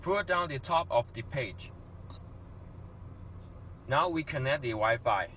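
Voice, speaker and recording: A man's voice: mid-range voice, expressive, takes it slowly, background noise. A man narrates a scripted product demonstration in simple, slightly unidiomatic phrasing. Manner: non-native English phrasing.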